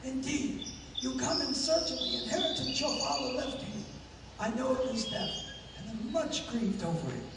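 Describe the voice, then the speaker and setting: A man's voice: very high voice, expressive, moderate pace, gravelly, full character voice. A man playing a dignified, grave Mayan king who speaks formally, in a 1930s-style radio play. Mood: solemn welcome. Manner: formal, stately. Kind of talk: 1930s radio adventure acting. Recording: noisy, very echoey hall, distant mic.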